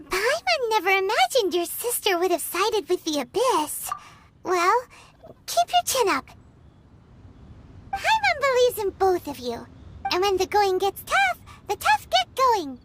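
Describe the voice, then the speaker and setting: A woman voicing a small, cheerful, childlike fairy companion in a video game, squeaky and chatty, on a noisy stream capture. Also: wildly swooping pitch, measured pace, fairly bright tone, exaggerated voice. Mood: encouraging. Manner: childlike, speaks of herself in third person. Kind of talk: video game character dialogue.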